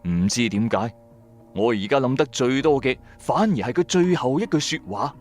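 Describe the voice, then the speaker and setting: A man's voice: mid-range voice, moderate pace. Wry male storyteller over background music. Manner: colloquial, wry, expressive. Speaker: storyteller, narrator. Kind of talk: first-person radio drama narration.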